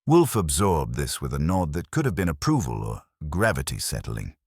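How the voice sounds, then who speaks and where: A man with an extremely deep voice reads a scripted detective story in the first person. His pitch moves in a lively way while the phrasing stays wry. The sound is dry and close. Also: normal speed, slightly rough, no room sound. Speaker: audiobook narrator.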